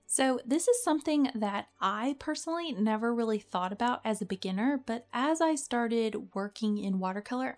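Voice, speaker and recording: A young woman with a slightly nasal, stuffy voice from a cold, giving a friendly, chatty watercolor tutorial as a voice-over. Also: a little high. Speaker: watercolor art teacher. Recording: voice-over with soft background music.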